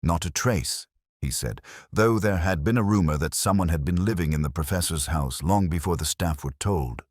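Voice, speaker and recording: A man narrating a first-person detective story in a measured, literary, reflective way. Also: low-pitched, no echo, studio quality.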